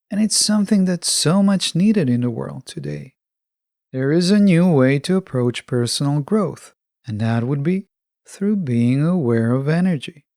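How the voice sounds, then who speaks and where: Higher-voiced man with a gravelly edge. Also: a little mellow, no room sound, clean studio sound. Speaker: podcast host. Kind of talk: scripted solo podcast monologue on self-help.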